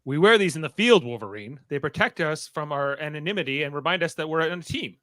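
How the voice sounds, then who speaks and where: A man's voice: fairly high pitch, animated, fast talker, sharp tone, dry recording, clean studio sound. A man playing an earnest, uptight, insistent young team leader in a radio play.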